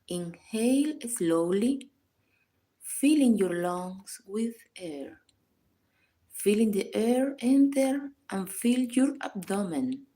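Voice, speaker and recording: Slow, mellow woman guiding a meditation. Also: mid-range voice. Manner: calm, measured, instructional. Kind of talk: scripted guided meditation narration.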